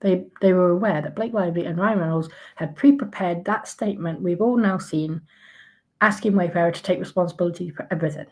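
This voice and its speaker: A woman with a croaky voice, ranting in casual commentary with outraged sarcasm and plenty of fillers and restarts. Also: on the low side, fairly quick, dark tone. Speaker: commentary vlogger.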